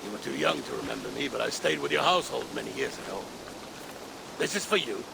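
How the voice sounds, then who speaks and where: An actor playing a mysterious older Norse craftsman in a video game, with a gravelly voice and a measured, cryptic manner. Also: mid-range voice, animated, noisy background. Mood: gentle, wry. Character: mysterious older Norse craftsman, soft-spoken, wry visionary. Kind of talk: video game cutscene acting.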